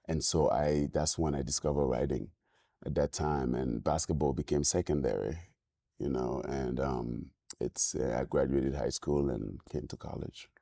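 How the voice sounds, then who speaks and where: A man from Senegal with a Senegalese French accent, a native French speaker, talking reflectively as an interview guest, halting with many ums and restarts. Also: rumbling low, gravelly, mellow, breathy edge, dry recording, clean studio sound.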